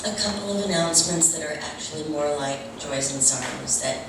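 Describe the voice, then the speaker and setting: A woman's voice: low-pitched, fairly quick. A woman speaking to a congregation at a church service, giving announcements and readings. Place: large reverberant room at a church service. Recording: very echoey, noisy room, distant mic.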